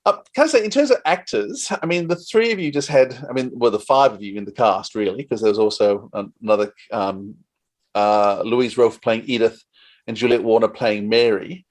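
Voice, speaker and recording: A man's voice: mid-range voice, moderate pace. Man interviewing in a casual chat.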